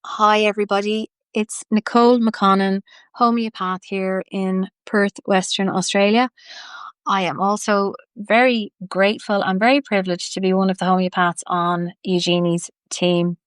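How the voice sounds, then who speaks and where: A woman's voice: mid-range voice, flat delivery, moderate pace, clean tone, no echo. A woman with a Dublin Irish accent, a homeopath telling her personal story in an earnest, reflective way. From Ireland.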